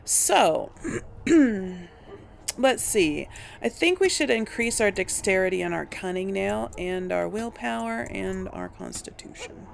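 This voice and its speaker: Slow-paced woman with a creaky voice. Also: medium pitch, expressive, slight background noise. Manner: thinking aloud, hesitant. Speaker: video game player narrating a role play. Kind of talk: casual let's play commentary.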